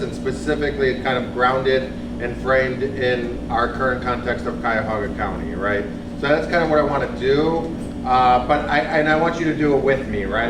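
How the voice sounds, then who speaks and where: Man talking to a room without a mic. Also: mid-range voice. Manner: conversational, many fillers, asks the room questions. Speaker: speaker on homelessness. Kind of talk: interactive talk to an audience. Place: large echoey room, audience present. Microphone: no microphone, room recording from a distance. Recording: echoey room from far off with loud noise.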